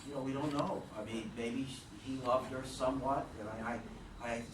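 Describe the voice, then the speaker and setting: Playwright explaining from across an echoey room. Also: male voice, mid-range voice, steady tone, brisk. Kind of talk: workshop discussion. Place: theatre, group discussion. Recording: echoey room, distant mic, noisy.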